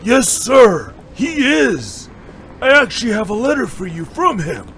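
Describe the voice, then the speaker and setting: A man's voice: high voice, huge pitch swings, measured pace, a bit bright, a little hiss or hum, exaggerated voice. A man playing an eager, respectful young dojo student in an audio drama, speaking with keen emphasis. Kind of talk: fan audio drama acting.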